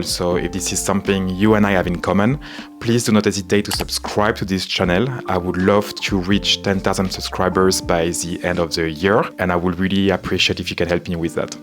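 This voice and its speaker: A man with a French accent, talking calmly to camera in a casual vlog with frequent fillers. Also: low voice. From France. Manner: calm, measured, frequent "you know" fillers. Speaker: menswear and vintage YouTuber. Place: home in Southern California.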